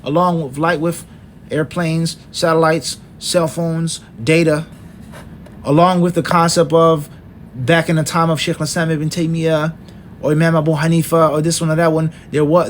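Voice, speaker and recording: Emphatic male lecturer, higher voice. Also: level tone, normal speed, slight background noise. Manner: emphatic, lists points one by one with rhetorical questions. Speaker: Islamic teacher.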